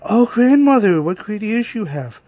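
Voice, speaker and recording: Man putting on an innocent little girl, high-pitched. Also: expressive, normal speed, a little mellow, dry recording. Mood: amazed. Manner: earnest, polite. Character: innocent, polite, trusting little girl in a fairy tale.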